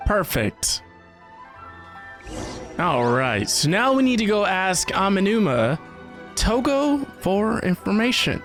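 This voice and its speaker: Male gaming commentator, high animated voice, casual and expressive, light music behind. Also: slow, pauses often. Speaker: gaming video creator. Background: game music.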